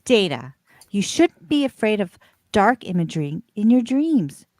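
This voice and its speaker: A woman playing a gentle, encouraging ship's counselor in an amateur radio play. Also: mid-range voice, very animated, unhurried, no echo. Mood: caring, reassuring. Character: reassuring female ship's counselor.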